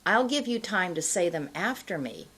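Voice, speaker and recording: A middle-aged woman giving an instructional pronunciation lesson, explaining in a deliberate, carefully enunciated way. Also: lowish voice, animated, a bit bright, slightly rough. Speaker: pronunciation teacher. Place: indoor studio, plain backdrop.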